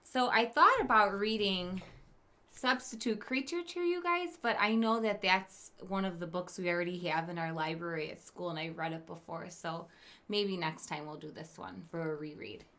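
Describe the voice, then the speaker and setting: A woman teacher reading a storybook aloud to children, expressive, with chatty asides to her listeners. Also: lowish voice, moderate pace. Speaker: elementary school teacher.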